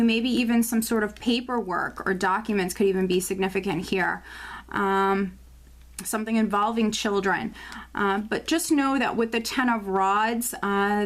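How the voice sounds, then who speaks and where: A woman speaks to her viewers as she lays out and reads tarot cards. Her voice is slightly hoarse and worn. Her delivery is loose and chatty, full of little fillers and repeated words. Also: a little high. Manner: chatty, many fillers and restarts, intuitive readings. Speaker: tarot reader. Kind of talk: casual tarot forecast video.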